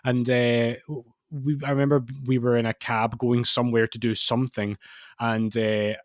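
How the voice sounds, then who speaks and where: A man's voice: medium pitch, level tone, normal speed, no room sound, studio quality. Rambling man telling podcast anecdotes. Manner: rambling, self-correcting anecdotes. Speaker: podcast co-host. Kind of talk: casual podcast chat.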